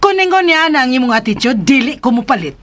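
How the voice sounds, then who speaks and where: A woman's voice: high voice, sing-song, fast talker, a bit bright. An actress playing a feisty, complaining elderly mother in a Filipino radio drama, with a Filipino accent and an indignant, exclamatory delivery.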